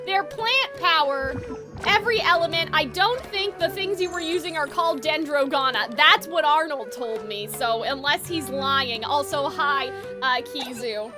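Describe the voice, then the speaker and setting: Chatty gamer girl streaming, super high voice, sweary and exclamatory, music playing behind her. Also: a little slow. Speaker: video game streamer, host. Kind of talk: casual live stream chat with viewers.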